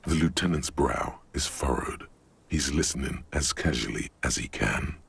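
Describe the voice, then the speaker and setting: A brooding video game narrator with an extremely deep, gravel-laden voice. He is husky and ominous, measuring out each phrase as if from inside a detective's head. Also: moderate pace, fairly bright tone, full character voice. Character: brooding male inner voice narrating a broken detective's mind.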